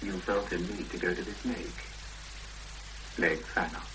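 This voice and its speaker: An actor playing a calm man asking measured, probing questions in a 1970s radio drama. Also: deep voice, background noise.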